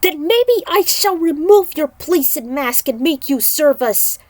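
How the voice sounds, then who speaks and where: A woman voicing a furious, vengeful male warrior in a story, forceful and threatening. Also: sits high, animated, unhurried, dry recording, voice pushed up.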